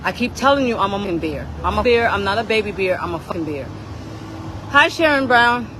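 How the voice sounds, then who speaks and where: A woman's voice: medium pitch, animated, a little fast, fairly bright tone, slight background noise. A woman on a casual livestream rant, confrontational and swearing, repeating phrases for emphasis.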